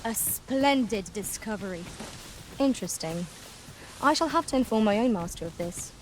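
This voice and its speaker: A woman's voice: medium pitch, expressive, moderate pace, a little hiss or hum, natural voice, rough recording. A woman playing an approving adventuring companion in a fantasy game, formal and effusive in her speech. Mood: intrigued. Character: bright-spirited, approving female adventuring companion serving a master. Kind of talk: scripted fantasy game dialogue.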